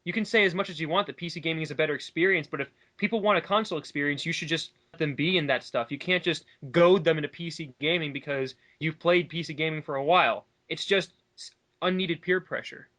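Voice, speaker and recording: A man's voice: on the high side, fast, fairly bright tone. A man in a casual, opinionated discussion, talking at length in an emphatic, argumentative way. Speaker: online commentary co-host.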